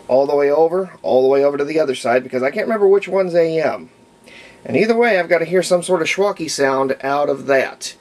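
An American man in his fifties narrates hands-on repair work unscripted, thinking aloud in a halting way as he goes. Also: mid-range voice. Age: 50s. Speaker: hobbyist radio restorer. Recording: clear background noise.

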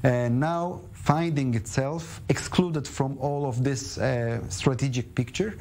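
A man's voice: medium pitch, steady tone, darkish tone. Middle-aged male news analyst, noisy background. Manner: hesitant, halting phrasing with non-native English grammar. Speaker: studio guest analyst. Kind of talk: live television news analysis. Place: television news studio. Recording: clear background noise.

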